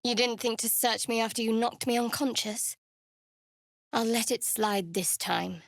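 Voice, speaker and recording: An actress voicing a guarded, wry female cleric of a dark goddess in a fantasy video game, with a cool, measured, sardonic delivery. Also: on the high side, vocal fry, dry recording, studio quality. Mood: dry, suspicious.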